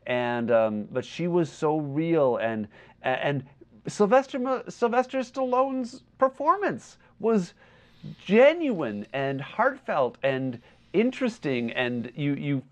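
A man co-hosting a podcast, discussing film casually and haltingly as he searches for his words. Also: fairly high pitch, animated. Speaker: podcast co-host. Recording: slightly dull, lo-fi audio.

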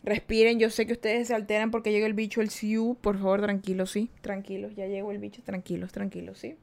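A playful, chatty woman, hosting a casual live podcast. She talks easily and directly to her audience in a mid-pitched voice, reading their comments aloud as she goes. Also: little pitch movement, fairly quick, gravelly, a little mellow. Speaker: podcast host.

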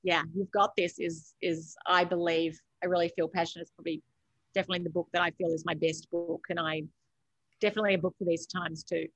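A woman's voice: fairly low pitch, little pitch movement, quick pace. Aussie woman coach on a podcast, motivational and emphatic, no room echo. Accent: Australian. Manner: emphatic, encouraging, repeats phrases for effect. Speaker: podcast guest, author and coach. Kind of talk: motivational interview conversation.

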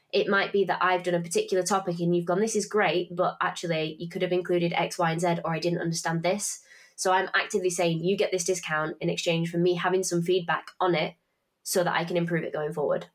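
A woman's voice: fairly low pitch, little pitch movement, fast. A young woman in her twenties, a podcast host, talking in a chatty, swearing, self-mocking way while congested from hay fever. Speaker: podcast host and finance tutor. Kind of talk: casual podcast chat with promotion.

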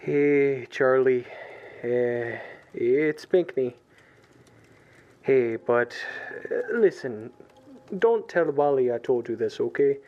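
A man's voice: mid-range voice, slow, long pauses, lightly acted. A man playing an awkward, kindly police colleague, hesitant and folksy, on a muffled voicemail in an audio drama. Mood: hesitant reassurance. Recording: dull, muffled voicemail sound.

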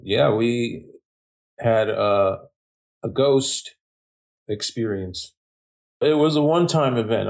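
A middle-aged man from Japan recounts a strange event in slow, unhurried speech. His voice sits a little low, and he often pauses, restarts and fills gaps with "uh" and "you know". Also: darkish tone. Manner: slow, halting, many fillers and restarts. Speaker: guest telling a ghost story. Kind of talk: casual storytelling.

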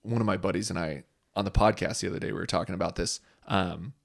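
A man's voice: sits low, steady tone, fast talker, gravelly. A man in his thirties hosting a podcast, chatting casually and agreeably. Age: 30s. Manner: laid-back, agreeable, frequent "yeah". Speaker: podcast host. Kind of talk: casual chat.

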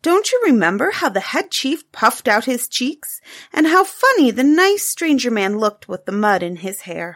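An eager little cave girl, as a woman reader puts her on, chatters in a high, childlike voice. Her pitch jumps and swoops all through the storybook reading, bright with excitement. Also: normal speed.

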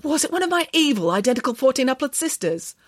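A woman's voice: high voice, very animated, fast, no echo, studio quality, pitched above the performer's own voice. A woman voicing a cheerful, oblivious, greedy pig nanny who exclaims and asks puzzled questions in a storybook. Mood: bewildered.

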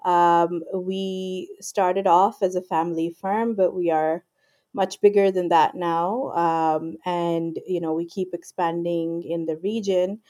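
A woman in her forties from Pakistan, calmly explaining her work as a guest in an interview with frequent ums and you-knows. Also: on the low side, slow pace. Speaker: guest, IP lawyer. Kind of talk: casual interview talk.

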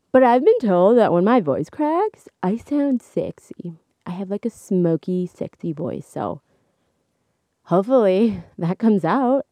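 A young woman hosting a solo podcast, chatting casually into a microphone. She laughs often, and her voice is slightly hoarse. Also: dry recording. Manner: chatty, laughs often, animated swings in pitch. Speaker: podcast host. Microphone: studio microphone.

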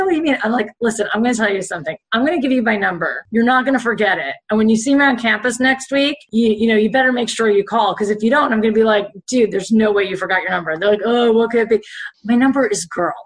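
A middle-aged woman with a raspy voice, a podcast guest chatting casually and telling stories with lots of "like" and "you know.". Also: fairly high pitch. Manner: chatty storyteller, many "like" and "you know" fillers.

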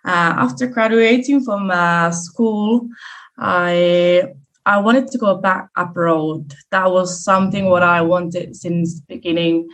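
Young Finnish woman chef, fairly low voice. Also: steady tone, takes it slowly, fairly bright tone, low-quality recording. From southeast Finland. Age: young adult. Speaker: guest chef. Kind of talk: interview answers.

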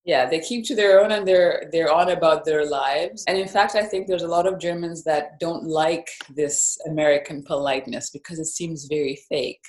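A woman from Zambia, a life and business coach, telling her story as a podcast guest in a reflective, measured way. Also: sits low, a little mellow. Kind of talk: podcast interview. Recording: roomy echo.

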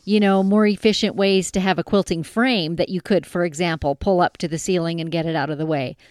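A woman's voice: on the low side, fairly even intonation, a little fast, darkish tone, clean tone, no room sound, studio quality. A woman from northern British Columbia, hosting a casual interview in a chatty, affirming way. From northern British Columbia, Canada. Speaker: interviewer, host.

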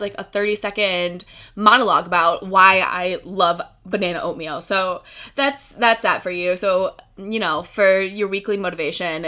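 A young woman hosting a casual career podcast. She rambles in a bubbly, chatty way and laughs often, with a voice of ordinary pitch. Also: sharp tone. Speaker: podcast host.

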